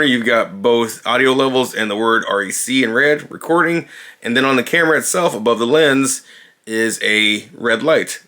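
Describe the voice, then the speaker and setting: A man's voice: on the low side, sharp tone. A man casually demonstrating a new camera indoors, talking loosely with lots of fillers and restarts, recorded on the camera's own built-in mic. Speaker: vlogger reviewing a camera. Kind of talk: casual unscripted camera demo. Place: indoors, dim room.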